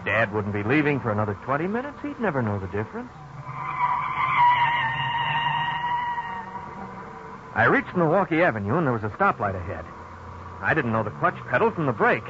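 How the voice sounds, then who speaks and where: An actor playing an earnest man recalling his youth in folksy, expressive narration for a 1950s radio drama. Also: medium pitch, fast, close to an everyday voice. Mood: excited, boastful. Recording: dull, noisy old radio recording.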